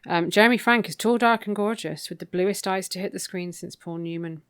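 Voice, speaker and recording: A woman's voice: on the low side, fairly quick, clear, resonant tone, dry recording, studio quality. A woman co-hosting a podcast, chatting casually through a recap with wry, opinionated asides. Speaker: podcast co-host. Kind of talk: casual book recap chat.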